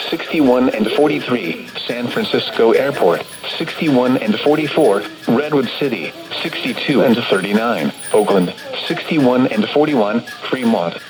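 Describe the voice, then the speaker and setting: A man's synthetic, automated voice reading a formal scripted weather forecast bulletin as an announcer over the radio. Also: mid-range voice. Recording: noisy weather radio broadcast.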